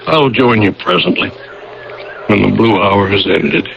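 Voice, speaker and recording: An actor playing an older husband in a radio drama, dazed and haunted, speaking in hesitant broken phrases. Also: male voice, lowish voice, expressive, unhurried, creaky. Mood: wistful, dreamy. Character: older husband, dazed and gripped by unnamed terror. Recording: muffled, noisy old radio broadcast.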